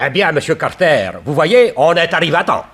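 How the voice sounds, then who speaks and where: An actor playing an eager, placating police official in a 1960s French radio serial, with a husky, animated voice. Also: male voice, a little high, fairly quick. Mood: deferential, puzzled. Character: eager, reassuring male police official, somewhat complacent.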